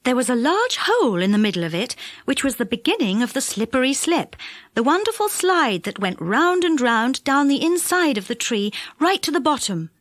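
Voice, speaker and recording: Female children's audiobook narrator: high voice, expressive, very varied pitch, dry close sound. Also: moderate pace, no echo. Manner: expressive, animated storytelling. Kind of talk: scripted children's story narration.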